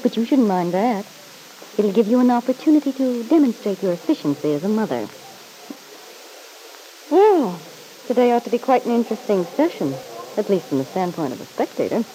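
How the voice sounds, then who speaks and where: A woman's voice: medium pitch, big pitch swings, a little fast, pauses often, warm tone, lightly acted, poor audio. An actress playing a catty, disillusioned woman in a vintage radio soap, arch, needling and drily amused. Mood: mocking. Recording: old radio recording with faint hiss.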